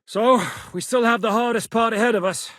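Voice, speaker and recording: An actor voicing a seasoned, commanding male war captain in a video game, blunt and quick to bark orders. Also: extremely high pitch, steady tone, a bit bright, no room sound, clean studio sound. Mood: calm. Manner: barks battle orders, brash and blunt. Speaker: military commander.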